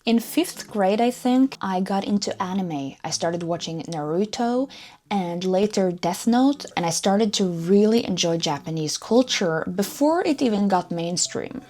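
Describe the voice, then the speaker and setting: A woman's voice: medium pitch, animated, unhurried, darkish tone. Upbeat Norwegian-accented young woman. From Norway. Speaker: vlogger. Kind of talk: scripted personal narration.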